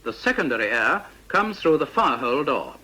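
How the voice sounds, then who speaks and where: A British man reading a scripted instructional narration for a vintage training film, in a precise, measured, didactic manner. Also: medium pitch, very animated, pushed and bright, breathy edge.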